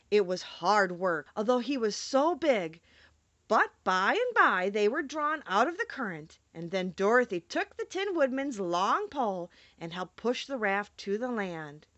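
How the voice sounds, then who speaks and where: A woman's voice: mid-range voice, sing-song, unhurried, a bit bright, dry recording, dull audio. A woman reading a children's storybook aloud as an audiobook narrator. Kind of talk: expressive scripted storybook narration.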